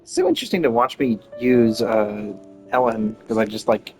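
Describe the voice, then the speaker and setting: A man's voice: on the low side, animated, normal speed. Offhand man commenting on his gameplay. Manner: rambling, offhand. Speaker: gamer, streamer. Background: game music.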